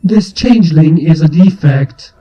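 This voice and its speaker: A man's voice: fairly high pitch, unhurried, warm tone. A man voicing a large mutant insect creature in a story, clinical and emotionless, with clipped verdicts and an echoing voice. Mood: cold, clinical. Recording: muffled, echoing, music behind.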